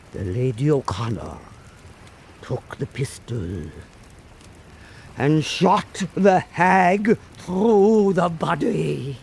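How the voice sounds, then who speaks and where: A man playing an old island storyteller, telling eerie folk tales in a deliberate, pausing way. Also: on the high side, a little mellow, husky, voice pushed up, full character voice. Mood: grave, eerie. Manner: slow, deliberate oral storytelling with pauses. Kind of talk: dramatic folk-tale narration.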